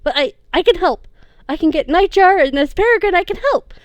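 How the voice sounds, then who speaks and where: Woman doing a teen boy sidekick, earnest and pleading, high and loud, dry close sound. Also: normal speed, dry recording, lightly acted.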